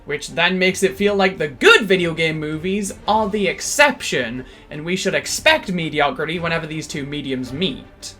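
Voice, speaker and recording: A woman hosting a scripted, opinionated film review, with expressive, emphatic delivery and sarcastic asides. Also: fairly low pitch. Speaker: video essay host.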